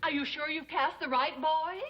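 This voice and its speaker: A woman's voice: high-pitched, fairly even intonation, normal speed, lo-fi sound, natural voice. A woman playing a polite, doubtful secretary in a vintage television sitcom. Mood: polite surprise. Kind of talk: 1950s television sitcom acting. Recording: very noisy old TV soundtrack.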